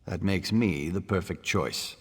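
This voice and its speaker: An actor playing a proud, ambitious, firm-handed baron in a fantasy video game, speaking formally and resolutely. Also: male voice, deep voice, measured pace, slightly rough. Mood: resolute, self-assured. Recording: echoing hall-like reverb.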